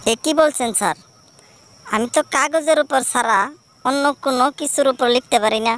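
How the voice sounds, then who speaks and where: A woman's voice: high voice, normal speed. A woman voicing a cheeky young pupil in a comedy cartoon. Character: cheeky young pupil with smart-aleck answers.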